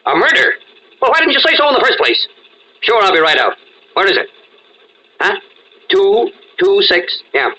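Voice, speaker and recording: A man's voice: sits high, big pitch swings, normal speed, long pauses, pushed and bright, muffled recording, full character voice. A man playing a skeptical, exasperated police inspector with a blunt, slangy, impatient manner, in old-time radio drama style. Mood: surprised, impatient.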